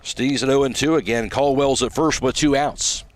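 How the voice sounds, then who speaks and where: A man's voice: medium pitch, normal speed. A man calling a live baseball game play-by-play as a radio announcer. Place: outdoor ballpark radio broadcast.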